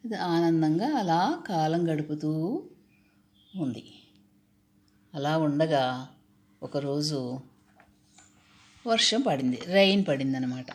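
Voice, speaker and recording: Low-pitched woman telling children's stories. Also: lively intonation, takes it slowly, pauses often. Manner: asks the children questions, repeats and explains key words. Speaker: storyteller. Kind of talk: children's storytelling.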